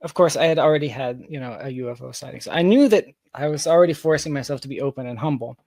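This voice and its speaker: A man's voice: medium pitch, quick pace, vocal fry, a little mellow, no room sound. A man speaking as an interview guest in casual, reflective conversation, searching for his phrasing as he goes. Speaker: interview guest, tech founder.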